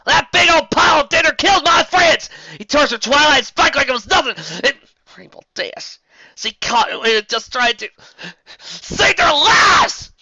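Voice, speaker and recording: A tough country pony mare, as the reader puts her on, hollers in a high, strained shout. Her voice is bright and dry, with a slightly lo-fi sound. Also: animated, moderate pace, creaky, no echo, full character voice. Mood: raging.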